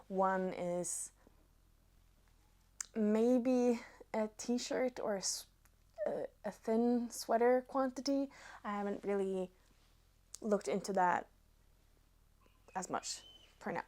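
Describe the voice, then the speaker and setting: A woman in her twenties from Norway with a Norwegian accent, chatting casually to viewers as a knitting vlogger, pausing between short phrases. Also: mid-range voice, darkish tone. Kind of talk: casual chat to camera.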